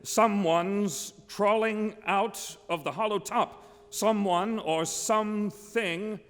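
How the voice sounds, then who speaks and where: A man playing a frightened eyewitness reporter in an old-time radio drama, halting and dramatic, recorded live in a hall. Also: sits high. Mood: horrified, hushed. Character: frightened male radio reporter witnessing an alien landing. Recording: live stage performance in reverberant hall.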